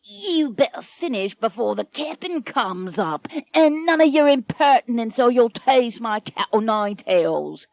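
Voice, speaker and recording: A woman's voice: on the high side, sing-song, a little slow, creaky, few pauses, darkish tone, dry recording, voice pushed up, exaggerated voice. A woman doing a snarling ship's bosun. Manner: snarling, bossy.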